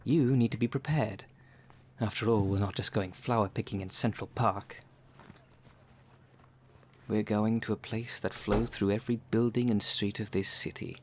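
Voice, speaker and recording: A man acting a brisk, wry city witch in an ASMR roleplay audio drama, talking in a dry, instructive way. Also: lowish voice, fairly even intonation, slight background noise, natural voice. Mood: dramatic.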